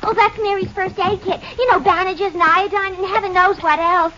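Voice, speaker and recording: An actress playing an excitable, chatty young showgirl in an old American radio drama, breathless and wide-eyed. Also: very high voice, moderate pace. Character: excitable young showgirl musician, plain-spoken and impressionable. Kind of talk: 1940s-50s radio acting. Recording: muffled, hissy old radio recording.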